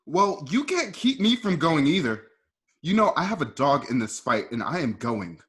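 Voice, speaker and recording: An actor as a loyal, hot-blooded cowboy in a Western radio drama. His highish voice swings widely in pitch with emotion. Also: big pitch swings, normal speed, a bit bright. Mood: defiant. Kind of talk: online radio play acting.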